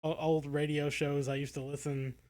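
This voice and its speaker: A man's voice: on the high side, flat delivery, moderate pace, a little mellow. An American man co-hosting a podcast in casual discussion, feeling his way through his thoughts in hesitant, circling phrases. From United States. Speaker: podcast co-host.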